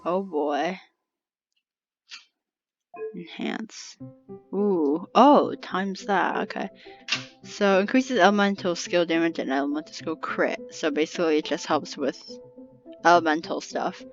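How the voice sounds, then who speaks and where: A woman's voice: lowish voice, unhurried, pauses often, muffled recording. A young woman giving casual, playful game commentary, muttering offhand reactions to herself. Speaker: gameplay streamer. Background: game music.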